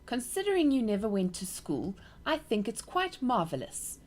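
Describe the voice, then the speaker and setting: Woman doing a bright curious little girl, high and eager, bouncy voice. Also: very animated, normal speed, a little hiss or hum. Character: eager, worried young girl.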